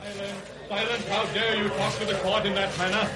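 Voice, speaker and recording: A man acting a stern judge in a vintage radio drama. His voice sits slightly high. He speaks with grave, formal authority. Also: flat delivery, fairly quick, reverberant, a loud crowd, lightly acted. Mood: outraged. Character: stern, solemn male judge pronouncing sentence. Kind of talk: old-time radio courtroom drama acting.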